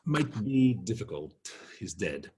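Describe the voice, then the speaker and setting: A man's voice: lowish voice, very animated, takes it slowly, dark tone, a little hiss or hum, heavily acted. A man voicing a sardonic, dangerous, unrepentant prisoner in a drama read, dripping with sarcasm. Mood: sarcastic. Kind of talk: scripted drama table read.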